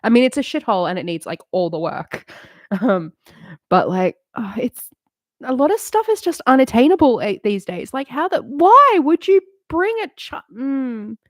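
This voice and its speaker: A woman's voice: fairly high pitch, very animated, normal speed, dry recording, clean studio sound. Candid, swearing woman in her late thirties. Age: late 30s. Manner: candid and rambling, swears freely, laughs often. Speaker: streamer. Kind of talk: casual chat on a live stream.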